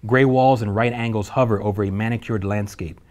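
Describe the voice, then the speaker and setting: Narrator for a table read: a low-voiced man, steady and matter-of-fact. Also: fairly quick. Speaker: narrator reading stage directions.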